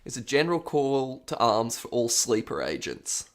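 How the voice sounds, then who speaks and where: A man's voice: mid-range voice, big pitch swings, normal speed, vocal fry. A man co-hosting a casual podcast, rambling through absurd theories and laughing often. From Australia. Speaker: podcast co-host. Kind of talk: casual chat.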